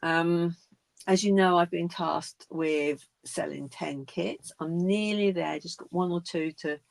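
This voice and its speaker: An older woman with a croaky, gravelly voice talks slowly through a craft demonstration. She unpacks a card kit in a chatty, unhurried way, naming each piece as she goes. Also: on the low side, no room sound. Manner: chatty, unboxing items one by one. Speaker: craft video host.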